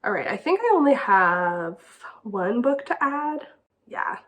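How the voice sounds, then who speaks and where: A woman's voice: on the high side, very animated, measured pace, fairly bright tone, slight background noise. A young woman with a stuffy, congested nose chats casually as host of her book channel, giggling and saying "like" often. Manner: chatty, giggly, says "like" often. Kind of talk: casual vlog chat.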